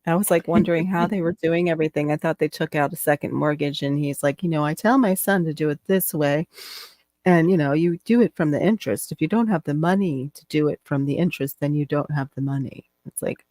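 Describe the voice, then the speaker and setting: A playful, chatty woman co-hosting a relaxed live chat, quick to laugh. Her voice is fairly low and recorded dry, with almost no room sound. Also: no room sound. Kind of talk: casual live-stream chat.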